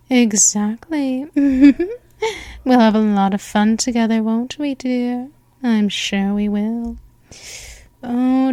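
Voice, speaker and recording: A woman playing a possessive female vampire mistress, speaking softly and hypnotically with drifting whispers, close to the mic. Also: fairly high pitch, no room sound. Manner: coaxing, repetitive, counts down. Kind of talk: ASMR hypnosis roleplay.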